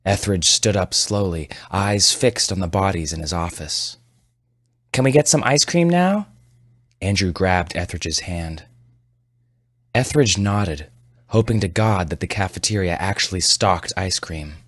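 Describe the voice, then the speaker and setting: A man's voice: sits low, normal speed, fairly bright tone, no room sound, clean studio sound. A man reading horror fiction and hosting a story podcast. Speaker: podcast host and story narrator.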